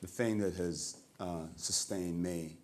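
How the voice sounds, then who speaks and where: A man giving reflective personal testimony at a roundtable, halting and deliberate, restating his phrases. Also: low voice, fairly even intonation, darkish tone. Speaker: roundtable participant. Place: indoor roundtable discussion at a museum.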